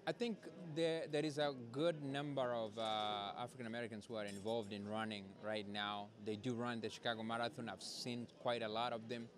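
A man from Kenya with a Kenyan accent, answering documentary interview questions in a halting, thoughtful way. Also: mid-range voice, fairly even intonation, crowd noise.

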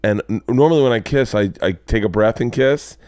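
A man in his forties hosting a podcast, telling stories in an animated way and swearing freely, sitting in a studio lounge at a boom mic. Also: fairly quick, no room sound. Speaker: podcast host. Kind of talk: casual podcast storytelling. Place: studio lounge with leather couches. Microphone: boom mic on arm.

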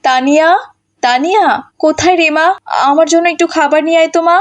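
A high female voice put on by a narrator plays a selfish, phone-obsessed wife in a cartoon. She is brash and stubborn, with dramatic, defiant whining. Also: fast, lightly acted. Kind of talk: melodramatic cartoon voice acting.

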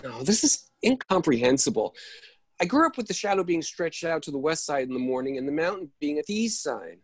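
A man playing a fussy, flustered man in a play reading, husky and emphatic. His pitch swings widely as he balks at change, and the sound is dry. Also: very animated, fairly quick, no echo. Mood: insistent. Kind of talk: script read-through of a one-act play. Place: indoors at home on a video call.

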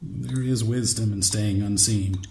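An actor playing a wry, loyal confidant in a radio drama, measured and slightly formal, the cautious voice of reason. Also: male voice, fairly low pitch, fairly even intonation, vocal fry, a little mellow. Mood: dry, warning. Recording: echoey, faint background noise.

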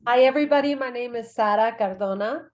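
A middle-aged woman from Mexico City, an artist introducing herself clearly over a video call. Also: on the high side, fast talker, slight background noise. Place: home room, indoors. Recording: dull video-call audio.

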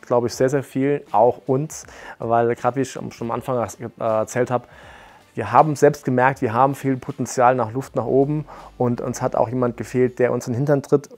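A man in his late thirties talks into a lapel mic in a bright room. He sounds plain and matter-of-fact, with the odd hesitation. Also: mid-range voice. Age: 30s. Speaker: martial arts school co-owner. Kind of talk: testimonial interview. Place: bright room with curtains, standing.

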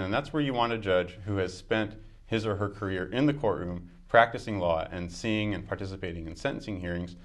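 A man in his forties, answering interview questions fluently and argumentatively with fillers. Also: sits low. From United States. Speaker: judicial candidate. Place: small studio with dark curtains. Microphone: lapel mic.

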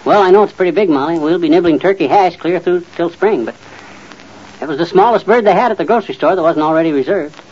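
An actor playing a blustery, folksy, know-it-all middle-aged husband in an old-time radio comedy. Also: male voice, a little high, quick pace, darkish tone, slightly rough. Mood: grumbling. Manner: folksy, boastful, muttering and sputtering. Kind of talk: 1940s-50s radio comedy acting. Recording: muffled old radio recording.

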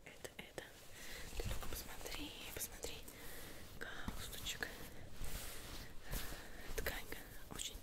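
Young woman whispering softly in ASMR roleplay. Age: young adult. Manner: soft, unhurried, coaxing whisper with repeated words. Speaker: ASMR roleplayer playing a witch tailor.

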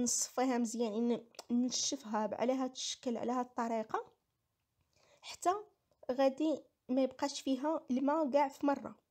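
A Moroccan woman narrates a home recipe in a casual, explanatory way. Her voice is high and soft-edged, a little dark in tone. She talks quickly and often repeats herself as she goes. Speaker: home cooking vlogger.

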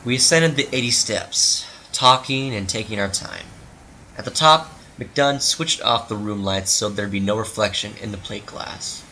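Low, forceful male story narrator. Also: unhurried, a little hiss or hum, rough recording. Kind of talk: dramatic scripted narration of a story.

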